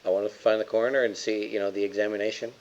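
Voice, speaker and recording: A man's voice: on the low side, little pitch movement, racing, a little mellow. Casual man with a dull, lo-fi sound. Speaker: tabletop game player. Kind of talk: casual chat.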